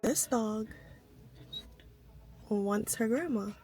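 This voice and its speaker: A young woman with a raspy voice, chatting casually to her camera while vlogging, thinking aloud and poking fun at herself. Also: fairly high pitch, very slow, mellow, faint music behind. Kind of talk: casual vlog talk to camera. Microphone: handheld pocket camera mic. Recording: noisy handheld camera audio.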